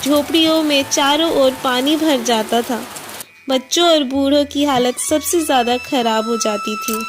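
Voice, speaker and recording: Slow, high-pitched woman narrating a story. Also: over music. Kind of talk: scripted Hindi moral story narration.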